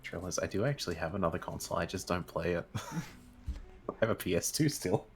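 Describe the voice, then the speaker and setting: A man's voice: low-pitched, animated, fairly quick. A man chatting as a guest on an online show, rambling and correcting himself as he tells stories. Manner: rambling, self-correcting storyteller. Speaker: interview guest. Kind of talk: casual chat.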